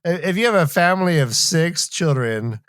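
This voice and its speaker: Male co-host, slightly high pitch, lively swings, unhurried, dry close sound, casual book chat. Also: no echo. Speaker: podcast co-host.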